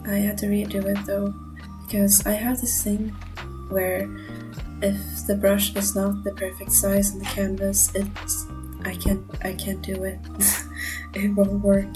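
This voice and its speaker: A young woman with a non-native English accent gives a casual, nervous voiceover over her drawing, speaking in a monotone and mumbling a little, with frequent small laughs. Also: medium pitch, measured pace, low-quality recording. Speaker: art channel creator. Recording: music playing underneath.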